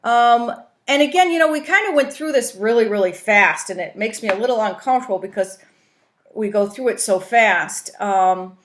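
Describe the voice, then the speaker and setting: A woman's voice: on the high side, expressive, normal speed. A woman giving informal instruction on a conference call, explaining step by step and often checking for understanding. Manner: explanatory, often checks in with "right?" and "you know". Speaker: trainer, facilitator teaching a coaching tool. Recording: roomy, echoing conference call audio.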